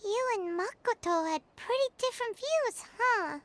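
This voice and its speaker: A woman voicing a small, chirpy fairy companion girl in a game, with a high, bubbly voice. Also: lively intonation, measured pace, a little hiss or hum. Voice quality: high, bubbly, refers to herself by name. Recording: studio game dialogue with background music.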